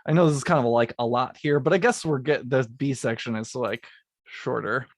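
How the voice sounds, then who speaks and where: A man's voice: medium pitch, moderate pace, no echo, studio quality. A man, a music composer, explaining how he built his theme piece, partly reading and correcting himself as he goes. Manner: restarts and corrects his script lines.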